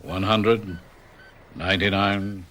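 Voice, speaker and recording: An actor playing Forrest, a calm surgeon who reassures a patient before an operation. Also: male voice, low-pitched, slow pace, heavily acted. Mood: soothing. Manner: soothing, reassuring.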